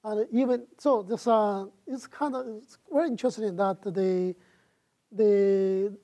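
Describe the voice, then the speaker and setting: A middle-aged man from China with a Chinese accent, answering audience questions in a lecture hall on a lapel mic, halting and full of "uh" fillers and restarts. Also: high voice, steady tone, warm tone. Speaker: guest lecturer.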